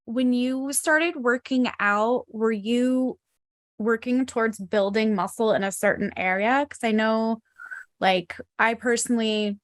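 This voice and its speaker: A woman hosting a podcast interview in a relaxed way, asking questions and sharing her own stories. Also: a little high, a little slow, no echo, studio quality. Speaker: podcast host. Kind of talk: casual interview.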